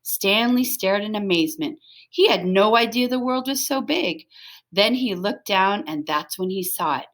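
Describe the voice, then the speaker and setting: Woman, medium pitch, children's storybook narration, expressive, dramatic emphasis. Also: moderate pace. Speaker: storybook reader.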